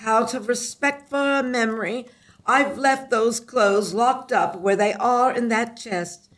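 An actress playing a cantankerous older aunt who scolds brusquely in a gothic comedy audio drama. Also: female voice, fairly high pitch, slow pace, a bit bright, low-quality recording. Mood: stern.

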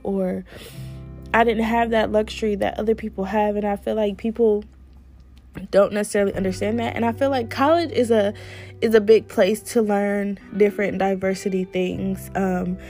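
Slightly hoarse woman, casual podcast chat. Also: mid-range voice, flat delivery, moderate pace, dark tone, faint music behind. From United States. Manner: chatty, candid, lots of fillers. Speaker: podcast host. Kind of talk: casual solo talk.

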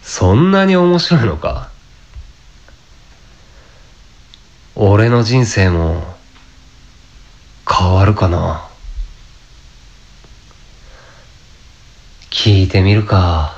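A man playing an animated, cynical young fake fortune teller. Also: fairly low pitch, slow, muffled recording. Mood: wondering. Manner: grumbling inner monologue, casual blunt speech. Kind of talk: amateur radio drama acting and narration.

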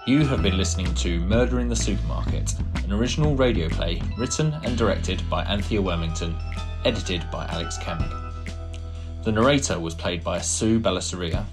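Deep male announcer reading credits over music, measured and formal. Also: very animated.

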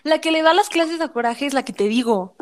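Mexican woman, high-pitched, lively podcast co-host, casual chat. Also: fairly quick, a loud crowd. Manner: lively, laughs, slips into impressions. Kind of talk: casual chat about childhood cartoons.

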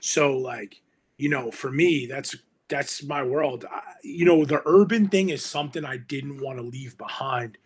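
A New man talks in a loose, slangy way, throwing in "yo" and curses. His voice is husky and a bit breathy, sitting at an ordinary pitch for a man. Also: measured pace. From Hudson County, New Jersey. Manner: slangy, animated, heavy swearing and "yo". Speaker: musician guest. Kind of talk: casual podcast chat.

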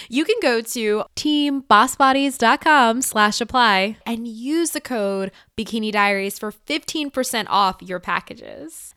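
High-voiced female podcast host, dry close sound. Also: a bit bright, clean tone, no room sound. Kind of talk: podcast introduction and interview.

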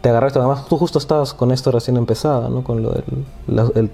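A man's voice: medium pitch, fairly even intonation, fast, gravelly, mellow, soft background music. A man hosting a casual talk about poetry, speaking hesitantly with frequent restarts and tag questions. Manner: hesitant, many restarts and "¿no?" tags. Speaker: host.

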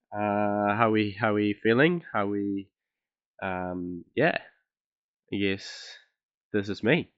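A young man from Chile talks through a solo podcast episode, hesitant and self-deprecating, with an accent that mixes American and Australian. His voice sits very low but sounds bright and forceful. The sound is dry and dull, like a lo-fi home setup. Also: smooth, no echo. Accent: blend of American and Australian. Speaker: podcast host. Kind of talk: casual solo podcast monologue.

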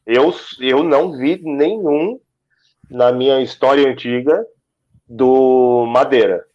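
A man of forty chatting casually as host, loudly exclaiming and steering the conversation. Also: mid-range voice, slow, highs cut off. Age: 40s. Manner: animated, exclaiming, steering the talk. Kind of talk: casual chat.

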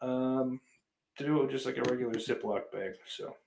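A man's voice: medium pitch, brisk, creaky, a little mellow, slight background noise. A man livestreaming in casual chat, rambling and swearing freely while reading out messages from his viewers. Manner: profane, rambling, self-deprecating. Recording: roomy echo.

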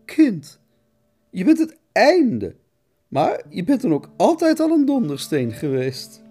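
A man's voice: high-pitched, huge pitch swings, slow pace, warm tone, husky, soft background music, pitched above the performer's own voice, exaggerated voice. A man voicing an old baroness, a tipsy and grandly amused socialite with an affected, exclaiming manner. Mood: gushing, amused.

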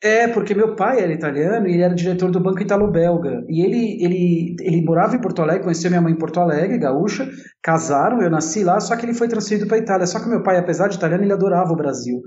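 A man's voice: sits high, fast talker, hardly any pauses, darkish tone. A man in his forties with a São Paulo Brazilian accent, telling his own story casually and talkatively, often repeating and rephrasing himself. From Italy and São Paulo, Brazil. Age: 40s. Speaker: interview guest, entrepreneur. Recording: roomy, slightly dull sound.

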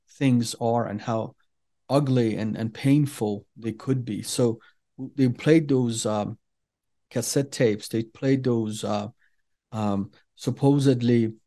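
An earnest middle-aged man from Iran, a native Farsi speaker, telling his own story as an interview guest. His voice is mellow and warm, set at an ordinary pitch for a man. He speaks deliberately, with frequent fillers and restarts. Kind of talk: personal testimony in an interview.

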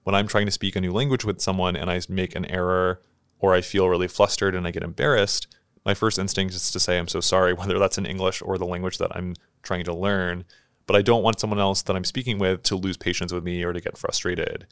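A man hosting a podcast conversation, speaking in a measured, thoughtful way, asking reflective questions and introducing segments. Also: deep voice, level tone, a bit bright, clear, resonant tone. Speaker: podcast host.

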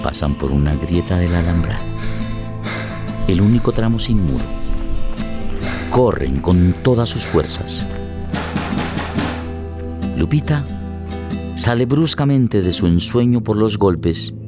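A man's voice: low-pitched, lively intonation, takes it slowly, pauses often, loud music behind the voice. A man narrating a scripted radio drama in a dramatic storytelling style.

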